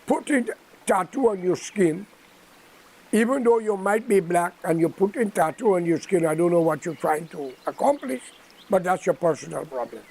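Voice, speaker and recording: Older guyanese guy preaching about what's wrong, high voice, gravelly and creaky. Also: animated, normal speed, a little mellow, rough recording. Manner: preachy, admonishing, emphatic. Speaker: political commentator. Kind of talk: impromptu political address.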